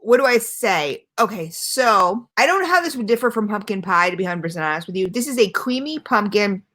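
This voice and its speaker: A woman's voice: medium pitch, animated, fairly quick, a bit bright. A woman chatting casually at home as she reviews a product for her channel, rambling and self-deprecating, with lots of fillers. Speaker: vlogger reviewing e-liquids. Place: at home, not her usual setup.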